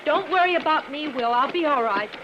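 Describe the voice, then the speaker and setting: A woman acting an anxious, pleading frontier wife in a 1950s radio western, with halting, emotional delivery. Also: sits high, animated. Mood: anxious, brave. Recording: muffled, noisy old radio recording.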